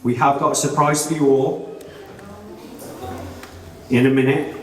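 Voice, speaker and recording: Groom giving a heartfelt speech, far off. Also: male voice, mid-range voice, level tone, a little slow. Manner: heartfelt with dry jokes. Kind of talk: wedding speech. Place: large reception room at a wedding. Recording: echoey hall, voice from far off.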